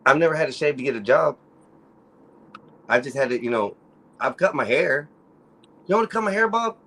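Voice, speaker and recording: A man with a deep voice who grew up speaking Spanish and English, chatting casually as a stream guest in a mumbling, self-deprecating way. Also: lively intonation, moderate pace, fairly bright tone. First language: bilingual Spanish and English. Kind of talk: casual livestream chat.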